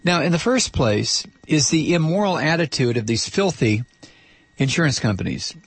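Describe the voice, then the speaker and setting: A man's voice: fairly high pitch, expressive, normal speed, breathy edge, dry recording. A man hosting a political talk radio show, ranting angrily and sarcastically, and losing his voice.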